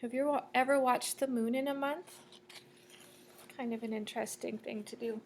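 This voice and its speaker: A woman reading a children's picture book aloud like a teacher, in a measured, sing-song storytelling way. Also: fairly high pitch, fairly even intonation, darkish tone, slight background noise. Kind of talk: children's read-aloud storytelling with asides to listeners.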